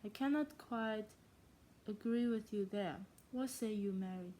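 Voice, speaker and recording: A woman playing a dry, teasing older gentleman from a classic novel, in a clumsy put-on German and then Scottish accent, stumbling and restarting words. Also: medium pitch, unhurried, a little hiss or hum, close to an everyday voice. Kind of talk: amateur read-aloud of a classic novel.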